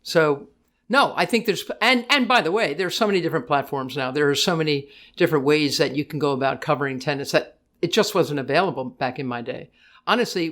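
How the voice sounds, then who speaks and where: Deep-voiced older woman, chatty and quick. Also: a bit bright. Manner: talks fast in bursts with many restarts, laughs easily. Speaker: guest, tennis broadcaster. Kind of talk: casual interview chat.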